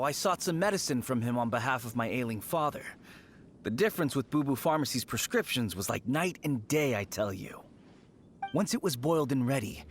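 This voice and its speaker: A man's voice: fairly high pitch, a bit bright. A man playing an earnest, trusting believer in a video game, speaking with reverence. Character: earnest, trusting male believer, devoted son.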